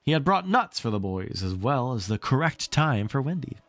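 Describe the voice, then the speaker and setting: Man narrating a storybook with lively intonation. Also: medium pitch, moderate pace, no echo. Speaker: streamer reading a book aloud.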